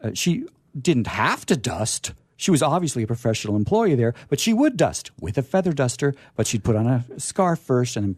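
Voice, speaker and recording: A man's voice: mid-range voice, darkish tone, no room sound. A middle-aged man hosting a radio theater show, telling stories casually and theatrically, repeating phrases for emphasis. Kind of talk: casual chat and storytelling.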